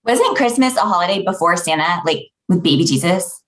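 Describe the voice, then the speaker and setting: A woman's voice: fairly low pitch, lively intonation, brisk, natural voice. A woman playing a blunt, ambitious young elf actress in a comedy table read, dry and dismissive.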